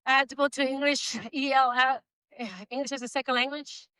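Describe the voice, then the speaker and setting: A woman with a slight Spanish accent, Spanish being her first language, giving an emphatic inspirational speech and slowing down for key phrases. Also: sits high, level tone, bright, forceful tone, clean tone, dry recording, low-quality recording. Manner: emphatic, slows down for key phrases, laughs between points. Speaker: motivational speaker.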